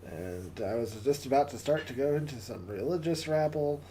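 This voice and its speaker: A man with a raspy, hoarse voice hosting a casual live stream and closing with a prayer, often stumbling and repeating words. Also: medium pitch, animated, normal speed, warm tone, a little hiss or hum. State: hoarse from coughing. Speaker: livestream host.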